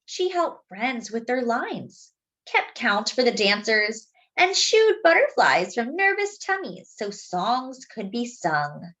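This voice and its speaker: A woman reading a children's story aloud expressively, phrasing deliberately as if to young listeners. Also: fairly high pitch, very animated, fairly bright tone. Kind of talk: expressive picture-book read-aloud with asides to young listeners.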